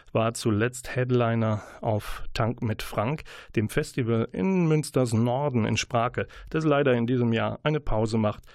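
Guy hosting a radio show, chatty presenter style, medium pitch, dry studio sound. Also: darkish tone, dry recording. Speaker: radio host.

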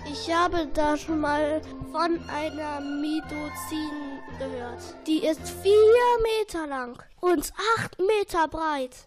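Woman playing a high-voiced little earworm, echoey. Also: takes it slowly, few pauses, distant mic. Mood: unsure, musing. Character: lost little earworm, sick, hesitant but chatty storyteller. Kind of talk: children's radio play acting. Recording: roomy echo, off the mic, music behind.

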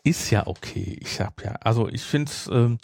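A man's voice: mid-range voice, normal speed, creaky, a little mellow, no room sound, clean studio sound. A man hosting a podcast, chatting casually about an audio drama in a teasing, nitpicking way. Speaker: podcast host.

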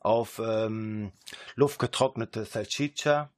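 A man from Düsseldorf, a shop owner guesting on a radio show, talking in a relaxed, friendly way. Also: on the low side, slow. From Düsseldorf, Germany. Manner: relaxed, friendly, filler "halt". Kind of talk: radio interview.

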